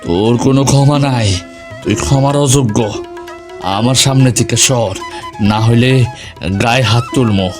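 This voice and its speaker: A man's voice: medium pitch, moderate pace, long pauses, music in the background, close to an everyday voice. A man with a Bangladeshi Bengali accent voicing a hot-tempered but loyal village husband and elder brother in a melodramatic cartoon. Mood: angry, stern.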